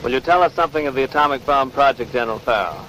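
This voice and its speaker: A man's voice: medium pitch, rapid-fire, a bit bright. American male newsreel interviewer, formal 1940s delivery, lightly noisy vintage field recording.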